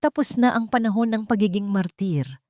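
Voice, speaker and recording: A woman narrating a scripted love story in a dramatic, measured and solemn storytelling style. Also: mid-range voice, animated, dark tone, no echo, clean studio sound.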